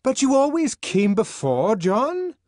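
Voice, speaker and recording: A man voicing an anxious pleading man who shouts and wails through a locked door, in an audiobook. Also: extremely high pitch, wildly swooping pitch, slow, dry recording, clean studio sound, pitched above the performer's own voice, full character voice. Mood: pleading, wailing. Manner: wheedling, wailing at the top of his voice. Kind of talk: audiobook character voice.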